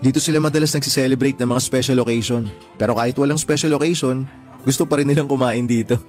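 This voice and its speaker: An actor playing an attentive, easygoing young Filipino boyfriend in a radio drama. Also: male voice, medium pitch, steady tone, fast talker, darkish tone, faint music behind, close to an everyday voice. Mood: reassuring.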